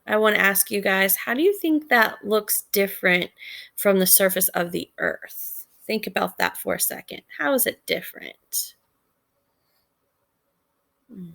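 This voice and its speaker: Female teacher, conversational explainer, unhurried with a creaky edge, poses questions as she goes. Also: mid-range voice. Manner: conversational, asks questions, thinks aloud. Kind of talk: casual read-aloud lesson commentary.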